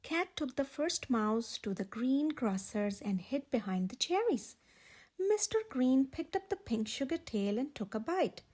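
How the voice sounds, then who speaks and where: A woman reads a picture book aloud for children in a high voice that swoops with expression. Her tone has a breathy edge, and she leans on key words for dramatic effect as a storytime host. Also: lively intonation, unhurried, a little mellow. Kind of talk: animated children's read-aloud narration.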